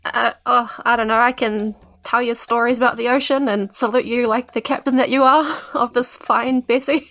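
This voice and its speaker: Female podcast gamer, slightly high voice, casual and improvised, light background music. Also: fairly even intonation, moderate pace, a bit bright. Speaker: player in a tabletop game podcast. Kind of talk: casual improvised game chat.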